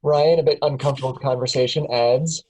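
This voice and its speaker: A man reads the stage directions aloud at a script table reading. His voice sits at a mid pitch, and he keeps a plain, steady delivery. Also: fast, breathy edge, poor audio. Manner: plain, steady, chuckles at times.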